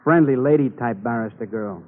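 An actor playing a wry, sardonic police detective giving reports in an old radio drama. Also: male voice, medium pitch, moderate pace, close to an everyday voice. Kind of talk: 1950s radio drama acting. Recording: tinny old radio broadcast.

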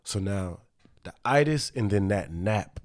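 A man with a smooth voice, joking and telling stories in a laid-back, casual podcast chat. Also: lowish voice, expressive, unhurried.

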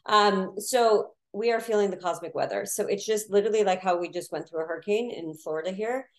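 A woman astrologer, a podcast guest, chatting casually and telling quick animated stories with voiced asides. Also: mid-range voice. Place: Florida.

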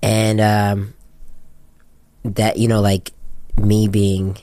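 American man, low-pitched, dry close sound, casual slangy interview storytelling, candid director. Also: completely flat delivery, very slow, warm tone, no room sound. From Japan. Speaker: interviewed music video director and photographer.

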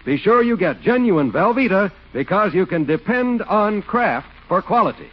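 A man's voice: high-pitched, sing-song, moderate pace. A man announcing in polished 1940s radio style, reading scripted copy with emphatic salesmanship. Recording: muffled vintage radio recording. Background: music.

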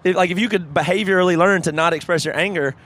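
A man with a fairly high voice, talking in casual podcast chat. He is thoughtful and frank and often restarts his sentences while he works out an idea. Now and then a curse slips in. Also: fast, fairly bright tone. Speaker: podcast co-host.

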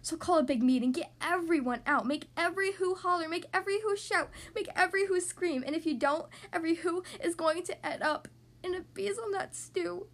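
Woman voicing an earnest, high-voiced big elephant. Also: fairly quick. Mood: alarmed, urgent. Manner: earnest, emphatic, calling out. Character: big loyal earnest kindly male elephant.

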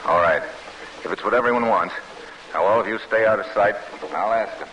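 Actor as a deep-voiced, grave soldier. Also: male voice, fairly quick, muffled recording, lightly acted. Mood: wary. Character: grave, guarded Polish soldier recounting his wartime escape. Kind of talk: old-time radio drama acting and narration. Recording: hissy vintage radio broadcast.

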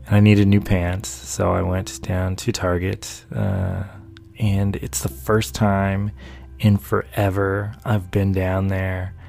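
A gravelly man from North Carolina talks casually about his painting and his day. His voice sits fairly low and rambles along with easy amusement, with soft music far in the background. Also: little pitch movement, slow. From North Carolina, USA. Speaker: painter and video maker. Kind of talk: casual talking-head chat.

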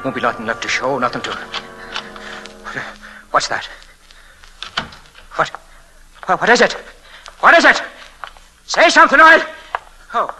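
Unhinged young killer, wildly swinging pitch. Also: male voice, wildly swooping pitch, unhurried, pauses often, sharp tone, full character voice, poor audio. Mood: cold, edgy. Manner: muttering, repetitive, swinging into frenzy. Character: unhinged young male murderer, cunning, paranoid, childishly boastful. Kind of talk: old-time radio thriller acting. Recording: vintage radio drama with dramatic music.

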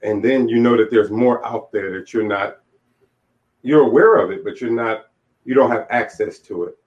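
Low-voiced man in his late forties, muffled audio. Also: moderate pace. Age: late 40s. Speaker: interview guest, author. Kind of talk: candid personal storytelling. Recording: dull, lo-fi audio.

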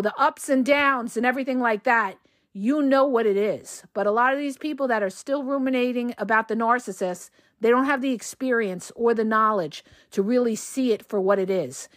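A woman coach talks straight to her listeners, her voice hoarse from a cold. She pitches a little high for a woman and speaks emphatically, checking in with them often. The sound is dry and close, with no room around it. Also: dry recording. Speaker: relationship coach and podcast host. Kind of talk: casual advice monologue.

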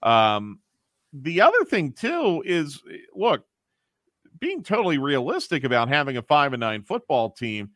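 A man's voice: fairly high pitch, very animated, measured pace, a bit bright, dry recording, clean studio sound. A man who runs a radio station's programming, talking casually on air and thinking aloud with restarts.

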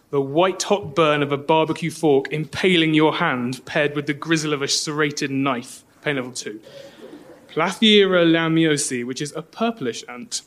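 A man's voice: on the high side, fairly bright tone. A man on a podcast panel giving a dramatic reading.

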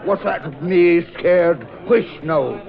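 Blustery Irish reporter, high voice, animated, indignant, 1940s radio drama, muffled old recording. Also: male voice, slow pace, crowd noise. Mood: indignant bluster. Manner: indignant, protesting, emphatic.

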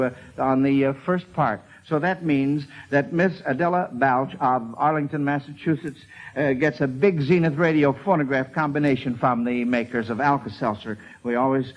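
A man hosting a 1940s radio quiz show in a folksy, hesitant, rambling way. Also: on the high side. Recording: dull vintage radio broadcast recording.

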